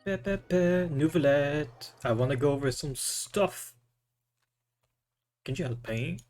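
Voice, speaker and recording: A man streaming a game, commenting casually and reading aloud in a halting way, stumbling over words. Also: on the high side, lively intonation, darkish tone, faint music behind.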